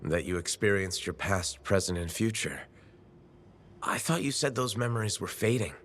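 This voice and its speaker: An actor playing a calm, reassuring male hero in video game dialogue. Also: on the low side, moderate pace, a little hiss or hum. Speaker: player character.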